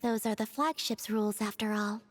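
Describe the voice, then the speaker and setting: A woman voicing a gentle, naive young moon goddess in a video game, soft and airy, with a measured, formal way of speaking. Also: medium pitch, fairly even intonation, close to an everyday voice. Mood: playful. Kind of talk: video game character acting. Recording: faint music behind the voice. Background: game music.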